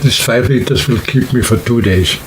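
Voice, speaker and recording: A man's voice: medium pitch, normal speed. Man rambling casually over background noise. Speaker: hiking vlogger and trip organizer. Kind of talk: casual unscripted talk to camera.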